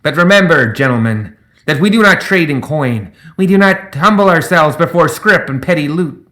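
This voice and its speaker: A man's voice: fairly high pitch, expressive, normal speed, sharp tone, voice pushed up. A man voicing a grandiose gremlin sky pirate captain in a pompous, theatrical audiobook character voice.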